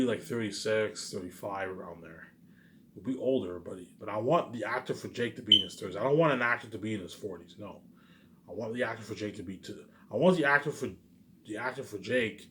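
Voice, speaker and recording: A man's voice: lowish voice, a little fast, sharp tone. A man talking casually in a rambling, repetitive monologue, musing aloud like a vlogger. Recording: noisy amateur recording.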